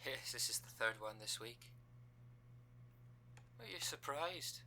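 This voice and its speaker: A man's voice: mid-range voice, expressive, slow, sharp tone, slight background noise, exaggerated voice. A man voicing a scheming female cult recruiter in an audio roleplay, speaking with formal, businesslike politeness and dry condescension. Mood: smug.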